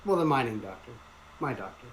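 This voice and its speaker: A man's voice: mid-range voice, very animated, fairly quick, noisy background. A man playing in an online roleplaying game, chatting casually with the other players. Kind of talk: casual chat.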